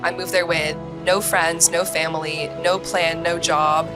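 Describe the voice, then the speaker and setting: A woman's voice: on the low side, little pitch movement, a little slow, music in the background. Young American woman telling her story. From United States, grew up moving between countries. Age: young adult. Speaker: podcast guest. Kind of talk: personal storytelling in conversation.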